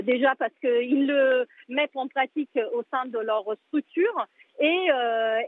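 Woman on the phone, tinny line, highish voice, lots of ums and restarts. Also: no echo. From Italy. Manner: hesitant, many fillers and restarts. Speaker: museum director, guest. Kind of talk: live radio interview answers.

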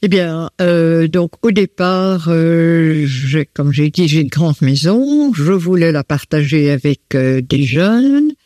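A woman's voice: sits low, lively intonation, warm tone, no room sound, studio quality. A woman answers interview questions at home in her living room, hesitant and full of fillers. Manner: slow and hesitant, many fillers. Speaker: host of students at home.

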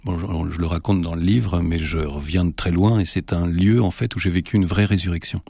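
A man with a very low voice, a radio interview guest. He speaks in a reflective, halting way, pausing on fillers. The recording is dry and close. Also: steady tone, vocal fry, warm tone, slightly rough, no echo.

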